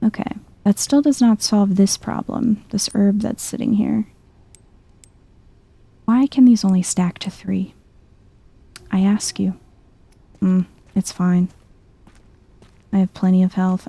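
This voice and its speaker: A woman with a very dark, mellow voice and a husky, breathy edge gives soft-spoken ASMR game commentary. She talks slowly and calmly, as if thinking aloud to herself while she plays. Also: medium pitch, no echo. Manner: chill, musing to herself. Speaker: video game streamer.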